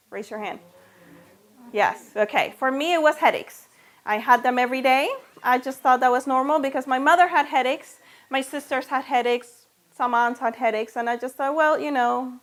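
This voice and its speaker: Female speaker, middle-aged, Venezuelan Spanish accent, high-pitched voice, conversational health-practitioner talk to a small audience. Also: a bit bright. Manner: conversational, checks in with listeners with "okay?" and "right?".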